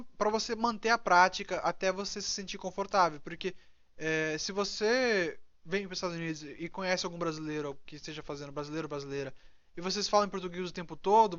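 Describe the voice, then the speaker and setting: A young man from Brazil talks to his viewers in a relaxed, rambling way, often stopping mid-sentence and starting over. His voice sits fairly high. The sound is dry and a little muffled, recorded indoors. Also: dry recording. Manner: rambling, many restarts and fillers. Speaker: vlogger. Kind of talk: casual advice monologue.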